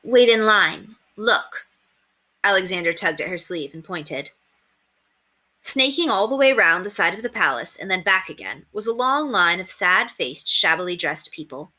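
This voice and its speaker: Bright, animated woman reading a storybook. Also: fairly low pitch, moderate pace. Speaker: read-aloud narrator. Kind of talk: expressive children's storybook narration.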